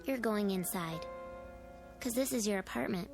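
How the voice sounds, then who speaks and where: A woman's voice: mid-range voice, normal speed, creaky, over music, lightly acted. A female performer playing a mysterious, solemn little girl in a radio drama, answering tersely as if she knows everything. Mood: knowing, solemn.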